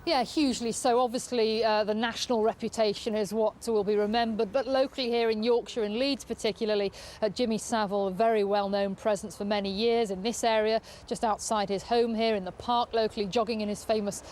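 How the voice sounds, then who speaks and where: A woman news correspondent giving a measured live report outdoors, pausing now and then as she improvises. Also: fairly high pitch, fairly even intonation, a little mellow. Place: outdoors on a residential street. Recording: outdoor street ambience with traffic noise.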